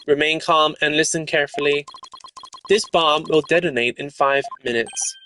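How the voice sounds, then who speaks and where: A man voicing a sinister bomb technician in a video game, deliberate, smug and threatening, with music behind him. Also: fairly high pitch, bright, forceful tone. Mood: cold threat.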